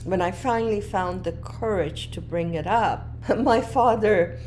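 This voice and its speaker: A woman podcast host, not a native English speaker, telling her own story in a reflective, earnest way, moving between emphatic lines and hushed ones. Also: medium pitch, measured pace, slight background noise, rough recording. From moved to Canada as a child, not a native English speaker. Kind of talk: personal storytelling monologue.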